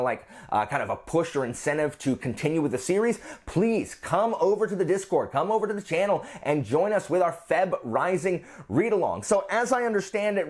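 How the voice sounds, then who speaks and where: A man hosting a book channel, talking casually and enthusiastically to his viewers with jokey asides. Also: on the high side.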